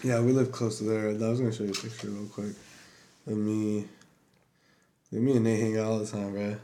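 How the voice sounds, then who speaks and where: Laid-back young man, lowish voice. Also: level tone, a little fast, warm tone. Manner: laid-back, lots of fillers and "bro", "man". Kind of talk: casual chat.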